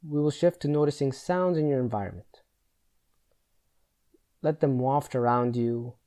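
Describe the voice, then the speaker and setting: Mellow-voiced man guiding a meditation. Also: mid-range voice, unhurried. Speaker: meditation guide. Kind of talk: guided meditation, slow instruction.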